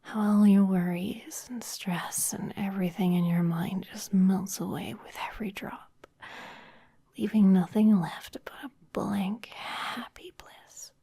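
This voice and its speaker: A woman's voice: mid-range voice, steady tone, unhurried, vocal fry, mellow, husky. A woman playing a seductive, domineering vampire office boss in an ASMR roleplay, whispering breathily in a teasing, commanding manner. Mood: coaxing, seductive.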